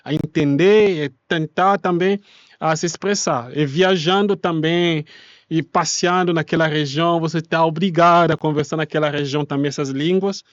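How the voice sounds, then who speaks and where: Congolese guy w accent, pretty high voice, not a native speaker, explaining stuff in an interview, dry sound. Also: steady tone, moderate pace, no echo, highs cut off. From Kinshasa, Democratic Republic of the Congo. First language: Congolese languages such as Lingala. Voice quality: non-native Portuguese with irregular grammar. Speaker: interview guest, refugee rights activist.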